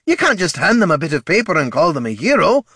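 A man voicing a gruff, grudging older male dwarf guildmaster who is fussy and snappish. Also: fairly high pitch, big pitch swings, fast, bright, forceful tone, no room sound, clean studio sound, voice pushed up, exaggerated voice.

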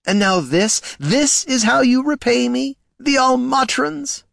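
A man voicing a heavy older male elf agent who is pushy and exasperated, talking fast and fussily. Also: very high-pitched, creaky, a bit bright, dry recording, clean studio sound, pitched above the performer's own voice, heavily acted. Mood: scolding. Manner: fast, fussy, scolding.

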